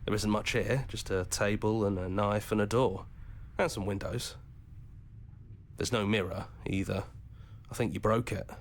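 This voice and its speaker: An actor playing a supportive hero companion in a video game, conversational, reassuring and wryly practical. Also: male voice, fairly low pitch, fairly even intonation, unhurried. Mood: wry, casual. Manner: conversational, reassuring, hesitant at times. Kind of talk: visual novel game acting.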